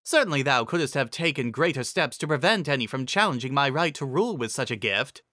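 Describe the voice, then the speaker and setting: A man voicing an imperious, quick-tempered female alicorn queen in a fantasy story, with grand archaic, commanding speech. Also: mid-range voice, animated, sharp tone, no echo, studio quality. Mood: haughty.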